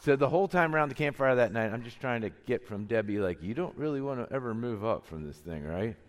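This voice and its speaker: A middle-aged man preaching a sermon, his voice deeper than usual from a cold, telling stories in a conversational way with frequent chuckles. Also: lively intonation, fast, long reverb tail. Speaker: pastor.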